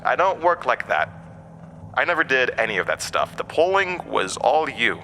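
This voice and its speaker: Horror podcast villain, male actor: undying hatted entity, very bright forceful edge, mocking and weary, theatrical, faint noise behind. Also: medium pitch, very animated, normal speed. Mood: exasperated, mocking. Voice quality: broken-windpipe voice, borrowed from another man. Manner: mocking, theatrical, cryptic, chuckling asides. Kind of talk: horror audio drama acting.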